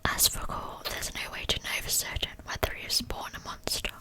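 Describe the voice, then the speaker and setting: A woman speaks entirely in a breathy whisper, with no voiced tone. She reads a scripted true-crime narration in an ASMR style, softly and deliberately, taking her time over each phrase.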